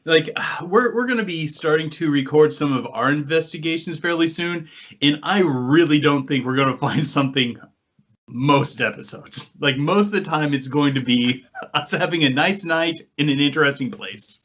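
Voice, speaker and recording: A man's voice: a little high, moderate pace, fairly bright tone. A man hosting a podcast and talking casually with the others. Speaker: podcast host and paranormal investigator. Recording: slightly muffled, lo-fi audio.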